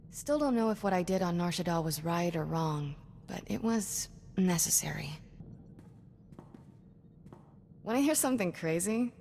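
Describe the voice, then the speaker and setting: An actress playing a sassy, cocky young Jedi sidekick in a video game, with wry, clipped banter. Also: female voice, lowish voice, a little mellow, in a large hall, a little hiss or hum, close to an everyday voice.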